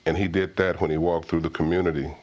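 A man's voice: low-pitched, flat delivery, fast, darkish tone, highs cut off. A middle-aged man with an American accent reminiscing in a sit-down interview.

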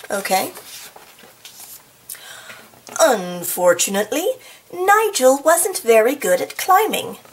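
A woman reading a children's picture book aloud in an expressive, playful way, with casual, chatty asides. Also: fairly high pitch, breathy edge. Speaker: storybook reader.

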